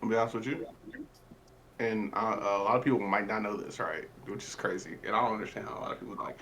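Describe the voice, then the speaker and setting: A man's voice: fairly low pitch, fast, a bit bright, slight background noise. A man playing in a quiz with friends, rambling and joking in loose, casual speech. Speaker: quiz contestant. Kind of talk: casual banter among friends.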